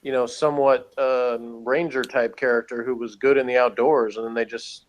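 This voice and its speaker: Male reviewer, medium pitch, informal conversational delivery, prone to chuckling. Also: steady tone, normal speed, lo-fi sound. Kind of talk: casual conversational review chat.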